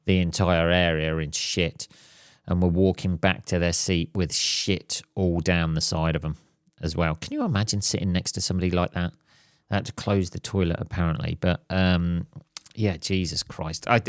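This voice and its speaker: Male host, slightly hoarse, crude and jokey solo ramble, dry and close. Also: sits low, no echo. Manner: crude, swears a lot, jokey. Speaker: podcast host. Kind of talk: casual solo podcast rambling. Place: at home.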